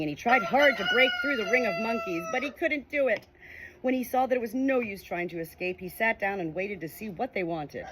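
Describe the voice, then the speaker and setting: A woman reading a children's audiobook aloud in an expressive, informal way. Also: mid-range voice, background noise. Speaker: audiobook reader. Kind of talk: children's storybook narration.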